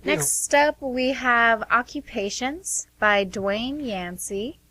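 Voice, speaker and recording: A woman's voice: on the high side, takes it slowly, sharp tone, no room sound, low-quality recording. A woman reading scripted stage-setting narration for a radio play.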